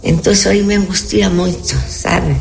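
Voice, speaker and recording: A woman's voice: lowish voice, steady tone, normal speed, gravelly, a little mellow. An elderly native Spanish-speaking woman from Argentina with an Argentine Spanish accent, reminiscing conversationally in an interview, repeating words and checking for agreement. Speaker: interview guest.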